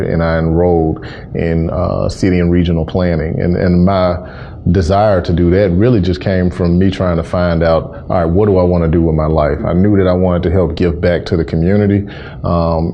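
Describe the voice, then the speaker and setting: An American man in his thirties speaks in a very deep, mellow voice with little variation in pitch. He explains things in a measured, earnest way as an interview guest. The recording is somewhat dull with some background noise. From Memphis, Tennessee, USA. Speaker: interview guest, city housing and community development director. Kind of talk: conversational interview answers.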